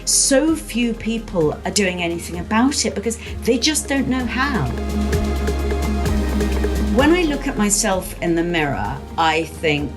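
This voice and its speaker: A woman's voice: mid-range voice, sing-song, unhurried, over music. A woman in her late fifties or older, giving a reflective personal account as an interviewee. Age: late 50s or older. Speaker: interviewee, career-changer teacher and former journalist.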